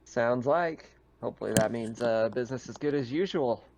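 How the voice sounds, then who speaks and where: A man's voice: medium pitch, moderate pace, noisy background. A man speaking casually as game master, narrating a tabletop roleplaying game. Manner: casual, conversational.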